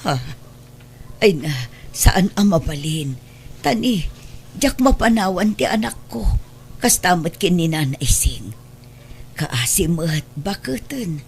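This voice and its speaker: A woman's voice: on the low side, sing-song, slow pace, pauses often, a little hiss or hum, full character voice. A woman playing a poor, humble, worried mother in an audio drama, speaking plaintively and anxiously. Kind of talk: Ilocano audio drama acting.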